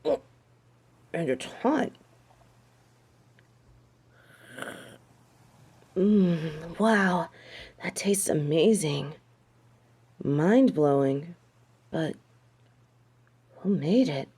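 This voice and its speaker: A woman's voice: fairly low pitch, animated, very slow, pauses often, mellow, breathy edge, heavily acted. A woman playing a snobbish, indignant fallen angel in a theatrical audio drama, speaking with haughty disdain. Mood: wondering, savoring. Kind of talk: dramatic audio roleplay acting.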